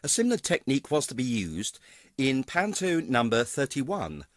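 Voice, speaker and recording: A man's voice: mid-range voice, huge pitch swings, normal speed, over loud music. An older British man giving a scripted retrospective narration in a wry, theatrical, wordy manner. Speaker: pantomime performer narrating career retrospective.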